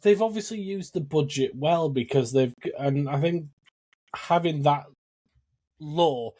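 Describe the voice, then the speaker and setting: A man's voice: fairly high pitch, a little slow, mellow. A man speaking as a podcast panellist in casual chat, reflective and explaining his points.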